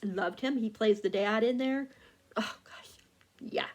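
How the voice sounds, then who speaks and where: A woman's voice: mid-range voice, slow pace, darkish tone. A woman chatting casually about upcoming books, rambling with lots of fillers and restarts while working a phone. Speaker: book vlogger. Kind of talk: casual chat.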